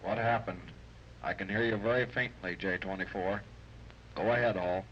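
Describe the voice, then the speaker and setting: An actor playing a businesslike shore radio operator heard over shortwave in a 1930s radio serial. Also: male voice, sits low, normal speed. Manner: procedural, monotone. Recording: dull, noisy old radio transmission.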